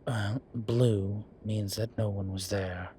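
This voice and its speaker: A man playing a suave, vain incubus demon professor in an audio roleplay, speaking in a formal, measured, wryly teasing way. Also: on the low side, steady tone, mellow, slight background noise. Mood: measured, serious.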